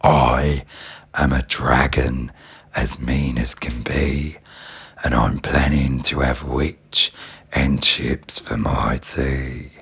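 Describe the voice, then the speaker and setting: A man voicing a mean, hungry male dragon in a children's storybook, slow and gloating. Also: rumbling low, flat delivery, fairly bright tone, slightly rough, pitched below the performer's own voice, heavily acted. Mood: menacing.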